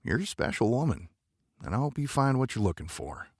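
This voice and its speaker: A man playing a gravelly, courtly space cowboy. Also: medium pitch, big pitch swings, brisk, dry recording, clean studio sound. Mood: solemn, tender. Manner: drawling folksy cowboy idiom, gentlemanly.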